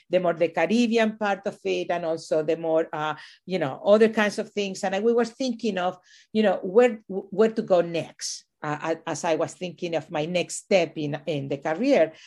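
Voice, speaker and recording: Middle-aged woman from chile talking through her career, lowish voice, lots of uh and restarts. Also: darkish tone. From Chile, raised in Venezuela. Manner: thoughtful, discursive, with frequent fillers and restarts. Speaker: university administrator and professor, podcast guest. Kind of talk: reflective interview conversation.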